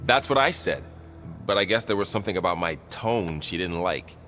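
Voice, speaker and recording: An earnest, animated young warrior. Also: male voice, low-pitched, moderate pace, faint music behind. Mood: earnest, sheepish. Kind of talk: naturalistic video game acting.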